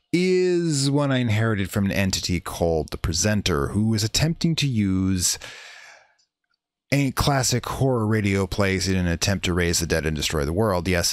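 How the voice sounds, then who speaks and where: A man hosting a horror podcast, introducing in character with theatrical, drawn-out emphasis. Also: on the low side, no room sound. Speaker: podcast host.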